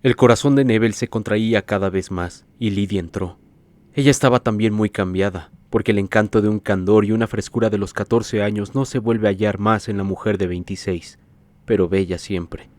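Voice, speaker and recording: A man narrating a literary story in a measured, scripted audiobook reading. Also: on the low side, fairly even intonation, darkish tone, no room sound.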